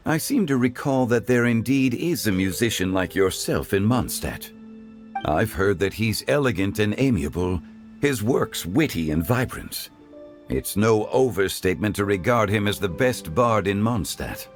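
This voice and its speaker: A refined gentleman consultant from a video game, voiced by a man. His voice is fairly low, with formal, measured, courteous phrasing. Soft music plays behind him. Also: expressive, close to an everyday voice. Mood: gracious, thoughtful. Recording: background music under the voice.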